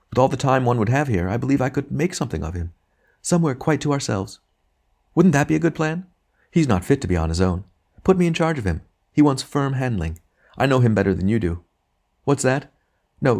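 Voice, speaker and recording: A man's voice: medium pitch, gravelly, a little mellow, voice pushed up. A man voicing the ghost of a domineering wife, complaining and self-righteous in an audiobook reading. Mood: pleading, demanding.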